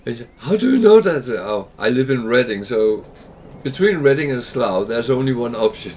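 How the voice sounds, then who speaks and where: A man's voice: medium pitch, expressive, fairly quick, darkish tone, slight background noise. A man from Denmark with a Danish accent, chatting casually and hesitantly while livestreaming a game. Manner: chatty, rambling, hesitant with fillers. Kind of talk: casual gameplay livestream chat.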